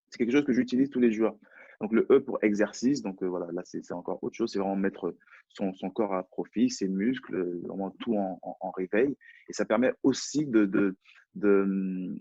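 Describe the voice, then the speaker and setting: A man's voice: lowish voice, warm tone, breathy edge, no room sound, lo-fi sound. A French man, a personal development coach, talking in a live online chat, hesitant and often repeating words. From France.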